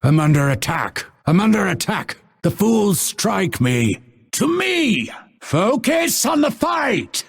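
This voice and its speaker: Low, gravelly brooding assassin. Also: male voice, big pitch swings, a little mellow, exaggerated voice. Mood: urgent, alarmed. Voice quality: husky, gravelly. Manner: measured, solemn, dramatic delivery. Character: brooding male assassin, grim, vengeful, fatalistic. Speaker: video game voice actor. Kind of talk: scripted game character voice lines.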